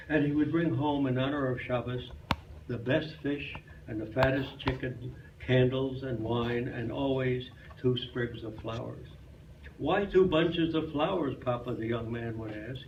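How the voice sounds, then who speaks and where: A man's voice: mid-range voice, a little hiss or hum. A man reading a folk tale aloud as a storyteller at a live club performance. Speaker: storyteller at a club reader's theater. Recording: echoey, muffled, distant live room recording.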